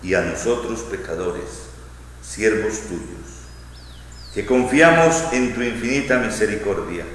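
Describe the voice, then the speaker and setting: Echoing, low-voiced priest praying solemnly. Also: male voice, lively intonation, fairly bright tone. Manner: solemn, measured, phrase by phrase. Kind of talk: liturgical prayer recitation. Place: large church interior. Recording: echoing church hall, long reverb, faint noise.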